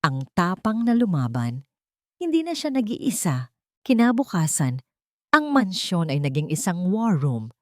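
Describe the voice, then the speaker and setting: Woman, Filipino accent, low voice, dry close sound, dramatic story narration, deliberate pauses. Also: very animated, no echo.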